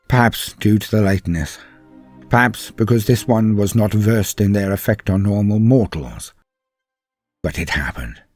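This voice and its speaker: A man narrating a story in a solemn, theatrical, dramatic style with a husky voice and drawn-out phrases. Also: fairly low pitch, darkish tone. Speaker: storyteller narrating fan fiction. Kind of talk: dramatic scripted first-person narration. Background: music.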